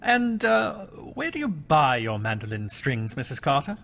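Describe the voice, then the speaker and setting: An actor playing a courteous but quietly suspicious police inspector in a radio mystery, questioning politely. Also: male voice, fairly high pitch, very animated, moderate pace, gravelly, heavily acted. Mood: probing. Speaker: actor in audio drama. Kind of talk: old-time radio mystery acting.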